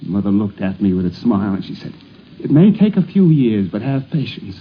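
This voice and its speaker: A man's voice: on the low side, sing-song, fairly quick. A man in his forties from the United States, telling family anecdotes conversationally on an old 1950s radio recording. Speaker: interviewee recalling family life. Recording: tinny, faintly noisy 1950s radio recording.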